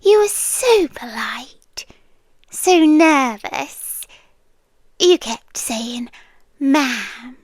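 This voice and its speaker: A British woman playing a possessive, doting and controlling yandere landlady in an intimate ASMR roleplay, breathy and coaxing, often dropping to a whisper. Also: high-pitched, no room sound, exaggerated voice. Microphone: close ASMR microphone, dry.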